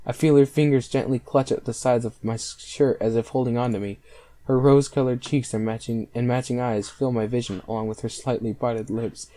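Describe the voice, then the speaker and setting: A man's voice: mid-range voice, fairly even intonation, fairly quick, dark tone. A man reading visual novel text aloud as he hosts a playthrough. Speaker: let's play host.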